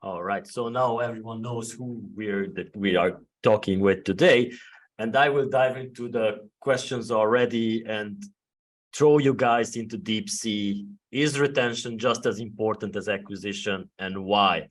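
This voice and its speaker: A man's voice: on the low side, unhurried. A man moderating an online panel, introducing speakers in a light, joking way. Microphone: video call.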